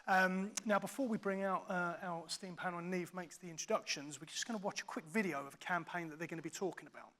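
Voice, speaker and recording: A man's voice: high voice, quick pace, hardly any pauses, pushed and bright, slight background noise. A man hosting a live conference session, speaking a little hesitantly between introductions. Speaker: event host introducing sessions. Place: conference stage.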